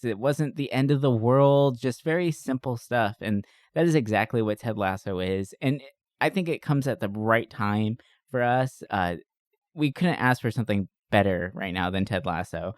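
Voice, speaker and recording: A man's voice: mid-range voice, a little mellow, no echo, studio quality. A man hosting a podcast in a casual, jokey, enthusiastic and chatty style. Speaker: podcast host.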